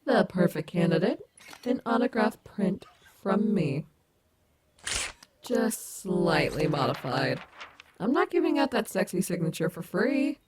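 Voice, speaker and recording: A woman's voice: fairly low pitch, sing-song, a little slow, a little mellow, a little hiss or hum. A woman playing a cocky but caring animatronic wolf rock star in an ASMR audio roleplay, teasing and affectionate.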